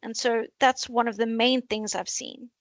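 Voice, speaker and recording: A woman's voice: fairly high pitch, flat delivery, moderate pace, dry recording. A woman panellist explaining carefully in an unscripted discussion, rephrasing as she goes.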